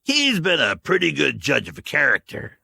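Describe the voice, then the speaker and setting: A wry old man drawls calmly and deliberately, as a male narrator plays him. The tone is gravelly and husky. The recording is dry and close. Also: fairly high pitch, dry recording, voice pushed up, heavily acted.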